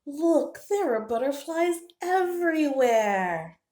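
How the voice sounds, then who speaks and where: Woman voicing an eager little squirrel, wildly lively pitch. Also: slow pace, exaggerated voice. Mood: excited. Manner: expressive, exclamatory storybook character talk. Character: small eager squirrel, excitable, tender and easily frightened. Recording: roomy, echoing indoor sound.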